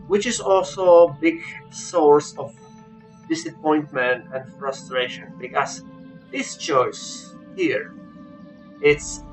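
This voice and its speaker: A man with a non-native English accent giving an informal, unscripted game review, halting but emphatic about his opinions. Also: on the high side, fairly bright tone, low-quality recording. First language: not English.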